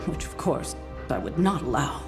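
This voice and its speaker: An actress playing a stern, protective middle-aged sorceress mentor, measured, commanding and dry, in a video game cutscene. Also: female voice, extremely low pitch, lively intonation, music in the background. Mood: grave concern.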